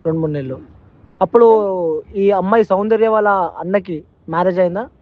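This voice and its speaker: A man's voice: sits high, moderate pace. A man conducting an informal interview, rephrasing his questions and repeating answers back. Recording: dull, lo-fi call recording.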